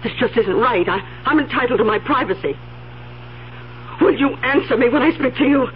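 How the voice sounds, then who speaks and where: An actress playing a frightened, indignant elderly aunt in a 1970s radio drama, with a fussy, stammering delivery. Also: female voice, a little high, animated, brisk, a little hiss or hum, close to an everyday voice. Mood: indignant, flustered. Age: older. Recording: dull, humming old radio recording.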